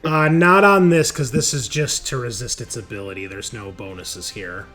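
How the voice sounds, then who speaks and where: A man with a mid-pitched voice works as game master for a tabletop game. He narrates scenes in the present tense like a casual storyteller, chatting through rules as he goes, over faint music. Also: moderate pace.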